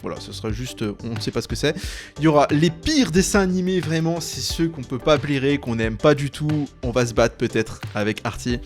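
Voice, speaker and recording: A man's voice: medium pitch, expressive, a little fast, faint music behind. A man in his late twenties hosting a video, chatting casually and explaining a tier list in an informal, rambling way. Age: late 20s. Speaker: YouTube host.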